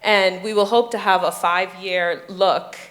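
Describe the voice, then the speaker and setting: A woman's voice: lowish voice, steady tone, a little slow, a bit bright, rough recording. A woman in her twenties from the Bahamas, a doctoral student explaining her ocean research on a panel, earnest and with frequent ums. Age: 20s. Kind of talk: panel discussion.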